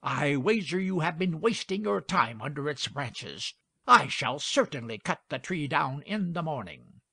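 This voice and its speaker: A man voicing a gruff, stubborn chief woodcutter in a storybook, brusque and dismissive. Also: on the high side, big pitch swings, measured pace, pushed and bright, dry recording, studio quality, full character voice. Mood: gruff, scornful. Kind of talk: storybook character acting.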